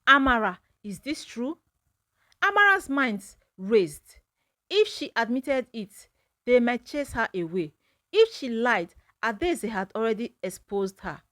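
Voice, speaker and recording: A woman storyteller narrating a scripted folktale in a dramatic, measured manner. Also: fairly high pitch, very animated, fairly bright tone, no room sound.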